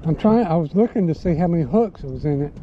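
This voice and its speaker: A man's voice: fairly high pitch, lively intonation, fast. Chatty man fishing outdoors. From South Carolina. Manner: easygoing, chatty. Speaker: fishing channel host. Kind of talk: casual chat while fishing. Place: outdoor pond bank and wooden boardwalk. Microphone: head-mounted action camera. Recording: dull outdoor audio with loud ambient noise.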